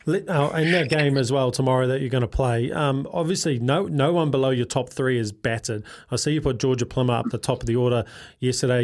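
A man's voice: mid-range voice, darkish tone. A man co-hosting a sports radio show, matey and joking as he questions a guest and reels off cricket scores.

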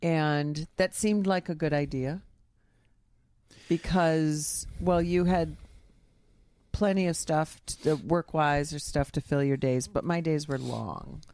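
A middle-aged woman in relaxed podcast banter, teasing her partner and laughing easily. Her voice sits lower than most women's. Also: slow pace. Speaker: podcast co-host. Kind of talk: casual banter.

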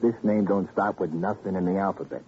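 An actor playing a cagey, folksy clerk of a cheap hotel, with a very raspy voice and sly wisecracks, in an old-time radio crime drama. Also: male voice, low-pitched, fairly even intonation, brisk, mellow, slight background noise, close to an everyday voice. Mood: evasive. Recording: muffled, lo-fi vintage radio recording.

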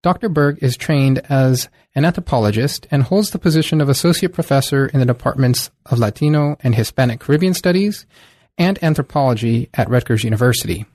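A man hosts a book podcast, reading a formal guest introduction before moving into questions. He keeps a steady, measured delivery in a mid-range voice. The sound is close and dry. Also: medium pitch, no echo. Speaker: podcast host. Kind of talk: scripted introduction and interview questions.

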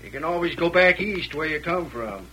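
High-voiced folksy stagecoach driver. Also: male voice, animated, normal speed, sharp tone, breathy edge, heavily acted. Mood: evasive. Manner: drawling, folksy frontier dialect. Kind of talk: old radio western acting. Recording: noisy old radio drama recording.

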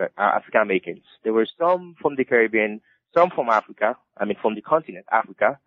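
Halting man in a dull, dry recording. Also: mid-range voice, no room sound. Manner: halting, with many repeated restarts. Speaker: author interviewed about his book. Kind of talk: interview answers.

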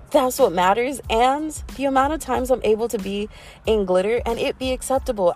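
A woman in her early twenties hosting a casual solo podcast, chatty and self-deprecating, often jumping up in pitch with giggles. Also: a little mellow. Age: 20s. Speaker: podcast host. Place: in a car.

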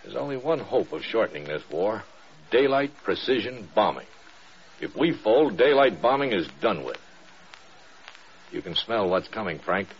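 An actor playing a grave, weary military commander, speaking earnestly and soberly in a radio drama. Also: male voice, lowish voice, big pitch swings, a little hiss or hum. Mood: grave, urgent. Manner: earnest, measured, sombre. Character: grave, weary senior male commander confiding in a subordinate. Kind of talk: mid-century radio drama acting. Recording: dull old radio recording.

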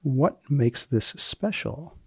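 A man hosting a podcast, reading scripted lines and questions in a deliberate, dry manner with dramatic pauses. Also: medium pitch, expressive, slightly rough, no room sound. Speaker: podcast host, magazine editor.

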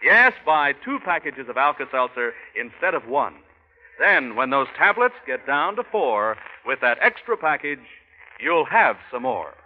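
Male American announcer for vintage radio spot, lively intonation, medium pitch, thin reverberant recording. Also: unhurried, a little hiss or hum. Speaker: commercial announcer. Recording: tinny, echoing old radio recording.

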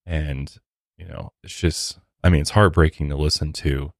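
A man hosting a true crime podcast, telling the case and adding earnest, measured comments with a few fillers. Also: extremely low pitch, steady tone, creaky, no echo, clean studio sound. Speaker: podcast host.

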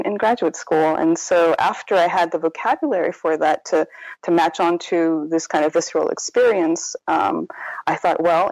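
A woman's voice: fairly low pitch, darkish tone, no echo, rough recording. A woman author speaking in an interview, thoughtful and self-correcting, often restarting her sentences. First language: Korean. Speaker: author guest. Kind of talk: casual academic interview.

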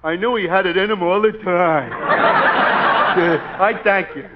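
A simple, good-natured dimwit from an old radio comedy. He drawls slowly in a very high, reedy voice. The sound is dull and muffled, like a vintage broadcast. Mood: proud. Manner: slow, dopey drawl. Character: dim-witted, slow, good-natured man. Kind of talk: 1940s radio sitcom acting.

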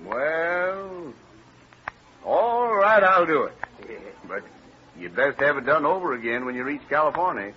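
An actor playing a sober, pious frontier elder, speaking formally in an old-time radio western. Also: male voice, fairly high pitch, big pitch swings, measured pace, pauses often, a little hiss or hum. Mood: solemn. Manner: formal, solemn. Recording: muffled old radio broadcast, crowd behind.

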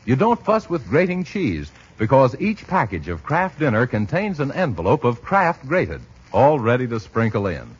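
A man's voice: medium pitch, huge pitch swings, normal speed. A man announcing in 1940s radio style, with polished, emphatic salesmanship. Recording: muffled 1940s radio recording, husky sound.

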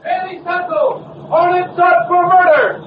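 Newsboy yelling headlines, crazy high voice, old muffled radio. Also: steady tone, slight background noise. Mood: urgent hawking. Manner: loud, drawn-out calling. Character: shouting street newsboy hawking headlines. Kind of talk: 1940s radio acting.

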